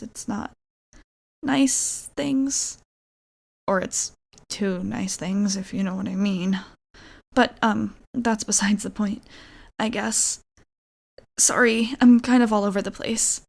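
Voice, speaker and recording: A woman's voice: fairly high pitch, vocal fry. A young woman playing a nervous, awkward, kindly vampire roommate in an intimate ASMR roleplay, hesitant, rambling and apologetic, slipping into whispers. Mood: sheepish, awkward.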